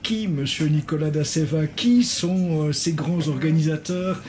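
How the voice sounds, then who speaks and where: A man interviewing an author, asking long questions in a measured, somewhat formal way. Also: a little high, a little mellow.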